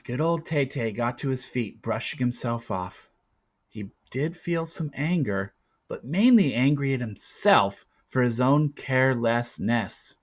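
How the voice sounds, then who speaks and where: A man reading a storybook aloud as a dramatic narrator, with deliberate, theatrical phrasing and a casual touch. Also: medium pitch, expressive, lo-fi sound. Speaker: storybook reader.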